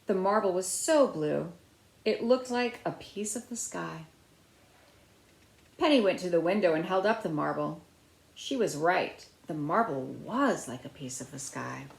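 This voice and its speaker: A middle-aged woman reading a children's picture book aloud with deliberate phrasing. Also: lowish voice, expressive, creaky. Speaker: storybook reader. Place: small room at home by a window, indoors. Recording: roomy, echoey indoor sound.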